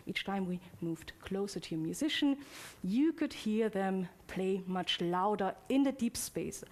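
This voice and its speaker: A woman's voice: medium pitch, lively intonation, a little slow, darkish tone. A woman giving an informal live presentation, conversational and a little hesitant, speaking directly to her audience. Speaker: presenter of a demo session. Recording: clearly noisy live event recording.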